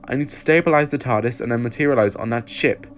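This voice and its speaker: A man performs a distracted, kindly alien adventurer in an audio story. His pitch sits above a plain reading voice and he sounds flustered and eccentric. Clear noise runs behind him. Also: fast talker, natural voice. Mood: urgent.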